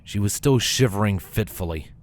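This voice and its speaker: A man's voice: on the low side, normal speed, slight background noise. A man narrating a scripted story as a reader. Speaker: fan fiction reader.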